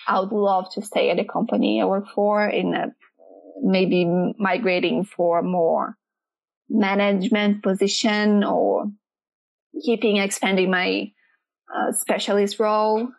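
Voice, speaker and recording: A Brazilian woman speaking English as a second language, answering interview questions in a relaxed chat and often pausing to search for words. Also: mid-range voice, creaky, poor audio. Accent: Brazilian Portuguese. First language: Portuguese.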